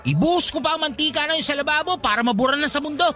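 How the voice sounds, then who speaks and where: A man playing a lovesick, jealous young fast-food worker in a radio drama, whiny and pleading as he talks. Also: extremely high pitch, bright, forceful tone, soft background music. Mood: exasperated. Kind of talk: Tagalog radio drama acting.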